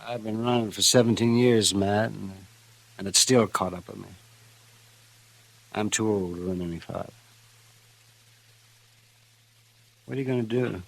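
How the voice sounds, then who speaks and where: A man's voice: fairly low pitch, steady tone, moderate pace, vocal fry, pauses often, rough recording. An American man in a vintage radio western, playing a crusty, weary old town doctor who talks in a folksy, querulous mutter. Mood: weary, resigned. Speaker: radio actor.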